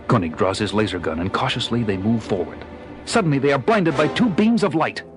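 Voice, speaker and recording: A man's voice: mid-range voice, lively intonation, brisk. A man narrating an audio drama with dramatic, scripted delivery. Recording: loud music behind the voice.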